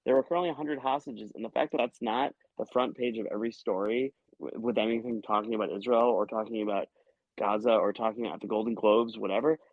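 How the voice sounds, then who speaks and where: A comedian guest chatting on a podcast, a man who talks in animated, rambling bursts and cuts himself off mid-thought. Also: on the low side, quick pace, dry recording. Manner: animated, rambling, self-interrupting. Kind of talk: casual podcast conversation. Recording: dry muffled lo-fi sound.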